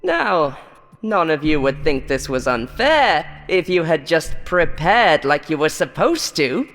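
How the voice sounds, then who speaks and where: A woman's voice: sits low, very animated, unhurried, fairly bright tone, long reverb tail, faint music behind, heavily acted. A woman voicing a cruel, haughty game show host in a horror story, switching between theatrical announcer tones and contempt. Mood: scornful.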